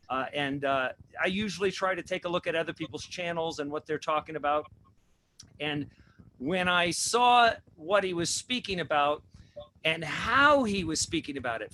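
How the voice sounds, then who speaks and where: A man's voice: sits high, bright, forceful tone, a little hiss or hum, low-quality recording. A man hosting a religious talk show, preaching with repeated rhetorical questions. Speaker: host.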